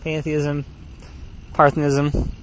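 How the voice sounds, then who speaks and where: A man's voice: on the high side, completely flat delivery, slow pace, poor audio. Guy podcasting from a yard swing, jokey, laughs a lot, bit of background hiss. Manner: joking, laughs often. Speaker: podcast co-host. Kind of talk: casual chat.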